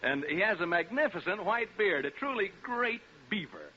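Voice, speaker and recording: A man's voice: sits high, lively intonation, moderate pace, pushed and bright, breathy edge, full character voice. An American man playing a brash, wisecracking radio DJ, narrating a ghost hunt in the flippant style of 1940s radio drama. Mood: breezy showmanship. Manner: flippant, joking, showman-like. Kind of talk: 1940s radio acting, live remote broadcast narration. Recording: dull, noisy 1940s radio recording.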